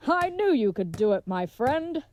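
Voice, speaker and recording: A woman's voice: high voice, very animated, a little slow, darkish tone. A woman playing a gallant, dim and earnest leathersmith turned would-be knight, with pompous, flowery speech in a comedic audio drama. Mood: proud, cheerful.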